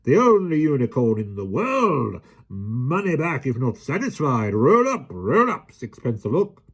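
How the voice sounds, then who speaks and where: A raucous, scheming fairground showman, put on by a man reading a children's storybook, loud and pushy. His raised voice barks and swings with a hawker's bluster. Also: medium pitch, wildly swooping pitch, a little slow, husky, pitched above the performer's own voice, full character voice. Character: loud, red-faced, scheming male showman.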